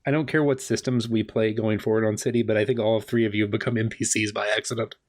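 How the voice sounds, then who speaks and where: A man's voice: fairly low pitch, level tone, fast. A man acting as game master, improvising the game in casual banter with a playful, wry manner and often repeating himself.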